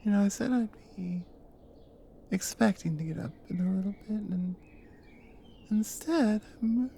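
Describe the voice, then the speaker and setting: A woman playing a devoted, protective Nordic adventurer wife in an intimate ASMR roleplay, drowsy and tender, breathy and often slipping into a whisper. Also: medium pitch, slight background noise. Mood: drowsy affection. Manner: slow, soft, tender with pauses.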